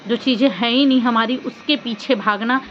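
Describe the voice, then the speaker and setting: Female vlogger, higher-pitched, emphatic chatty commentary; dull lo-fi sound with some noise. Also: moderate pace. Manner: conversational, emphatic, with rhetorical questions. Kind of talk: casual opinion commentary on social media drama. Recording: dull lo-fi audio with background noise.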